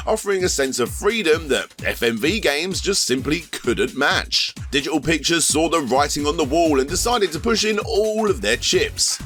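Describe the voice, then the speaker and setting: A man's voice: mid-range voice, a bit bright. Man narrating with dry sarcasm. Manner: wry, sarcastic asides. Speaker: host. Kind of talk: scripted narration.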